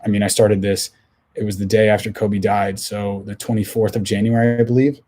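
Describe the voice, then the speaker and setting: A hoarse young man talks through his solo podcast in a loose, rambling way, circling back and restarting his sentences. His voice sits low and husky and barely moves in pitch. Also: level tone, brisk, mellow. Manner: rambling, many restarts and filler words. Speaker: podcast host. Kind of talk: casual solo podcast talk.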